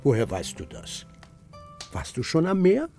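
An actor playing a gruff, stubborn old shepherd grandfather who speaks tersely and bluntly in a children's radio play. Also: on the high side, huge pitch swings, a little slow, warm tone, faint music behind, exaggerated voice. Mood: curious, impatient. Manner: terse, blunt, answers with counter-questions.

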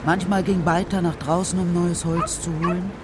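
A narrator reading a children's radio drama story in a measured, serious, literary style. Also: mid-range voice, level tone, heavy background noise.

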